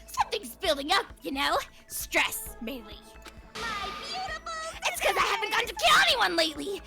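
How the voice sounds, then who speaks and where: A woman's voice: very high-pitched, sing-song, moderate pace, creaky, full character voice. A woman voicing a manic, cackling teenage serial killer girl with mocking, theatrical delivery in an amateur visual novel dub. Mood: unhinged rant. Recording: loud game music behind the voice.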